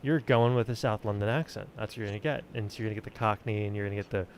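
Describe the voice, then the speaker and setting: A man's voice: lowish voice, fairly even intonation, rapid-fire, slight background noise. A man hosting a podcast, chatting casually with the group. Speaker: podcast host.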